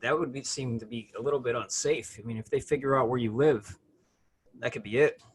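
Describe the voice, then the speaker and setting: A man's voice: mid-range voice, a little fast, husky. A man in casual conversation as a podcast co-host, musing and asking questions.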